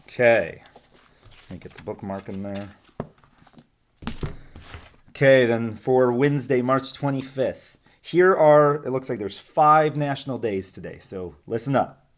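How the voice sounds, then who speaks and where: A man reading a storybook aloud to young listeners, expressive, with casual asides spoken straight to them. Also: medium pitch, a little slow, long pauses.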